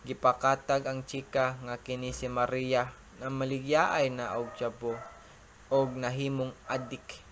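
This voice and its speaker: A young man narrating a student radio drama in a plain, steady reading voice. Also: medium pitch, measured pace, a little hiss or hum. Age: young adult. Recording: music playing behind. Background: music.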